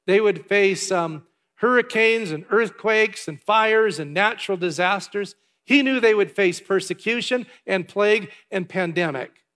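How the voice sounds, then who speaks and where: Canadian-raised pastor man, chatty sermon with lots of ums, high pitched, echoey hall. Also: unhurried, fairly bright tone. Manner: chatty, with asides and filler words. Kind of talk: sermon with church announcements. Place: large church hall. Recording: long hall reverb.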